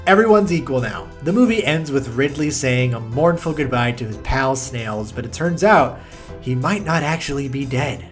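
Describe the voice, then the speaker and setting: A man's voice: mid-range voice, lively intonation, normal speed, faint music behind. A man narrating a film review video essay in a sardonic, exasperated way, swearing freely.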